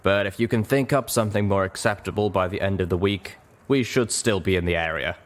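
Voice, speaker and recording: A man's voice: sits low, fairly quick, lightly acted. A man voicing a proud, tough female griffin mercenary leader in a story reading. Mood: businesslike.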